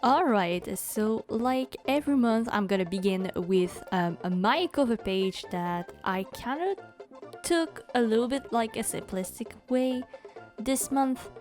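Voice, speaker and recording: A young woman from Quebec with a Quebec French accent, speaking English as a second language in a chatty voice-over, full of ums and likes. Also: mid-range voice. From Quebec, Canada. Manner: chatty, many ums and likes, laughs often. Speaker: bullet journal YouTuber. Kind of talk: casual voice-over commentary.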